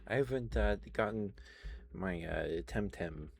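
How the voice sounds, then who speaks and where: A man speaking softly and breathily as an ASMR streamer, close to the microphone, murmuring gentle affirmations to his viewers. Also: low-pitched, slight background noise. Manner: soft, breathy whispering with slow, repeated affirmations. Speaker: ASMR livestreamer. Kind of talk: ASMR, intimate rambling to viewers.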